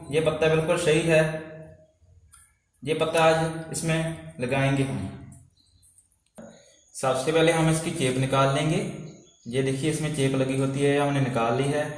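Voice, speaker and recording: Very echoey man, flat delivery. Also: a little high. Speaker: repair tutorial host. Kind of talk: informal hands-on repair demonstration. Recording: very echoey room, faint background noise.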